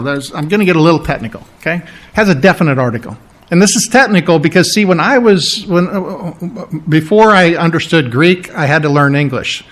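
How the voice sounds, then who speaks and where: Middle-aged guy preaching a bible lesson, slightly high voice, jokes around, drags out the key words. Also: a bit bright. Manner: explanatory, with asides and humor; slows down to stress key phrases. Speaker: pastor. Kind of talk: sermon teaching on scripture.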